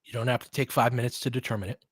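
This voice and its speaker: A man's voice: mid-range voice, fairly even intonation, very fast, dry recording. A man giving informal live basketball play-by-play as a fan-facing host, opinionated and conversational, in clipped phrases.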